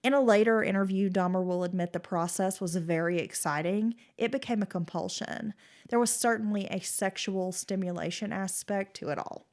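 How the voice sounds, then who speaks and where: A woman's voice: mid-range voice, moderate pace, a little mellow. A woman co-hosting a casual true-crime podcast, her voice nasal and stuffy from a cold as she reads case details and chats. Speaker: podcast co-host.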